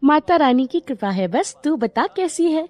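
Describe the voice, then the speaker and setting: Casting: actress as busybody female relative for cartoon story, high-pitched, very animated, brisk and no-nonsense. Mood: chatty, reassuring. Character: brisk, chatty female relative who arranges matches. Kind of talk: animated Hindi moral story dialogue.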